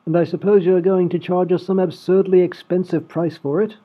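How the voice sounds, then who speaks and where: A man playing a prim, put-upon lord in a comedy radio play, formal and fussy, with a husky voice. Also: sits high, level tone, brisk. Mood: haughty, brisk. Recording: tinny phone-like audio, faint music.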